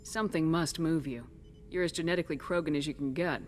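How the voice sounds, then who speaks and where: An actor playing a calm military commander in a video game, asking measured, probing questions in a supportive way. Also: medium pitch, slight background noise, natural voice. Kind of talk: scripted video game dialogue.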